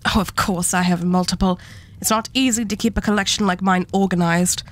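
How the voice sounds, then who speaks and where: A woman playing an arch devil who hoards relics, speaking with unhurried, condescending and politely menacing poise in a scripted ASMR roleplay. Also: on the low side, steady tone, lightly acted. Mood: haughty, amused.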